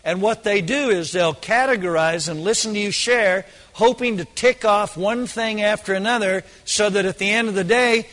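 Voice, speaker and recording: A hoarse man preaches with a high-pitched voice that rings with long reverb, as in a large hall. He presses his points hard and repeats phrases to drive them home. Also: pushed and bright. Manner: emphatic, repeats phrases for stress. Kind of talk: sermon.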